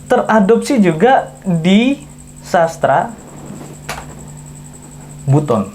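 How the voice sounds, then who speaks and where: A man's voice: high-pitched, very animated, very slow, darkish tone, a little hiss or hum. A man from Southeast Sulawesi, a podcast guest, explaining in casual conversation with frequent self-corrections. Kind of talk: casual chat.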